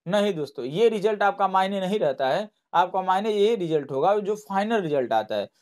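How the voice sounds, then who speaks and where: A man's voice: sits high, animated, brisk, darkish tone. A man giving an informal explainer to students, repeating himself and reassuring them as he goes. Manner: repetitive, reassuring. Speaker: online exam-update presenter.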